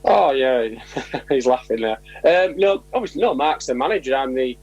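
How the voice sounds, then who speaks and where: A man with a husky, slightly rough voice at an ordinary pitch. He talks informally and in a matey way, as an assistant coach would in a casual interview. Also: lively intonation, normal speed, a little mellow, lo-fi sound. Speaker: assistant football manager.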